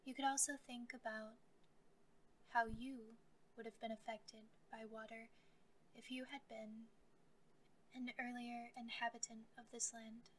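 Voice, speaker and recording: Woman doing guided meditation, gentle calm voice on the high side, some noise behind her. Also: a little slow, pauses often, poor audio. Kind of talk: guided meditation, soft scripted narration.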